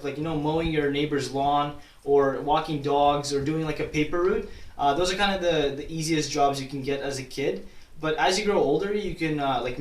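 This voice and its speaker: A young man from Kazakhstan answering viewer questions in a casual vlog, rambling with many restarts and fillers. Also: on the high side. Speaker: YouTuber. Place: at his grandmother's town in Kazakhstan. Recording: roomy echo, distant from mic.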